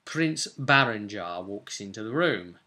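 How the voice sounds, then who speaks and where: A man's voice: on the low side, animated, measured pace, bright, forceful tone. A young British man giving a casual, emphatic recap to camera as a game master, chatting from his desk at home. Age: young adult. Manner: chatty, emphatic, gesturing storyteller. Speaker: vlogger and game master recounting a session. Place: small indoor home room, seated at a desk.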